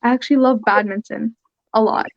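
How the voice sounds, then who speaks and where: Fourteen-year-old girl, earnest, flat and high. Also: fairly quick, creaky, dry recording, highs cut off. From Syria. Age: teens. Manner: earnest, soft-spoken storytelling with frequent "like". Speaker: interview guest, young artist. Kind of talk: online interview. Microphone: video call.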